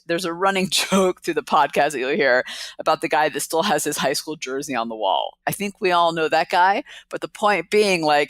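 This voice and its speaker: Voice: medium pitch, a bit bright, no echo. A podcast host giving an upbeat, chatty introduction and then interviewing a guest. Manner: chatty, upbeat, quick laughs. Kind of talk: casual interview intro and chat.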